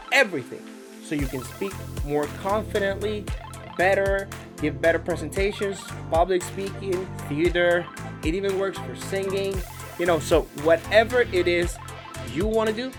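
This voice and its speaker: A man with a Spanish accent, a native Spanish speaker, giving a casual diction lesson in conversational English with emphatic stretched words and some stumbles. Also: sits high. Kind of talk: casual instructional talk to camera.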